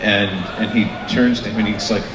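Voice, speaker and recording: Brief: lower-voiced man, stammering panel guest, off-mic, crowd noise. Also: fairly even intonation, a little fast. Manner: rambling, hesitant. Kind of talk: convention panel Q&A. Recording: echoey hall, distant mic, crowd.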